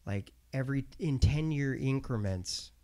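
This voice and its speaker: A man in his late thirties, hosting a casual podcast conversation, speaking reflectively and haltingly with many pauses to find his words. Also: medium pitch, expressive, mellow. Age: 30s.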